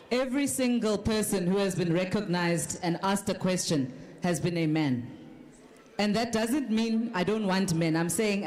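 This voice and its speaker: A South African woman giving an emphatic political speech at a town hall, pressing her points with rhetorical questions. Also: mid-range voice. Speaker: political party representative on a panel. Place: large hall at a public town hall. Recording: noisy hall with loud crowd. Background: audience noise and chatter.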